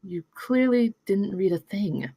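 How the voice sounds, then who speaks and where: Woman playing an animated, frank colleague. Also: medium pitch, unhurried, vocal fry, breathy edge, full character voice. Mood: skeptical. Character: frank, earnest female writer colleague named Peggy. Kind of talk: table read of a screenplay.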